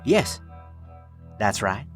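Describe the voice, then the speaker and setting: An actor playing a wily, guarded American frontier shopkeeper in an audio drama, folksy in manner. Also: male voice, fairly low pitch, big pitch swings, very slow, exaggerated voice. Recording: music clearly behind the voice.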